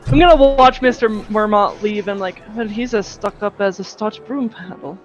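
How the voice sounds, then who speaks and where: A woman's voice: medium pitch, normal speed, faint music behind. A woman playing a character in a tabletop game, upbeat and friendly. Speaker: player. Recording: dull, distant mic.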